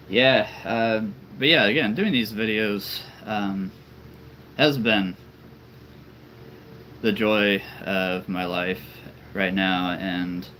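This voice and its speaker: A man with a very deep voice, chatting casually and rambling on a live stream as a toy-collecting channel host. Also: bright, forceful tone, a little hiss or hum, low-quality recording. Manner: chatty and rambling, laughs often.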